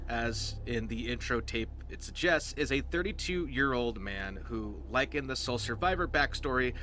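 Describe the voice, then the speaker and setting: A man's voice: mid-range voice, pushed and bright, a little hiss or hum. A man giving casual, explanatory game commentary in an informal, emphatic manner, as host of a roleplay series.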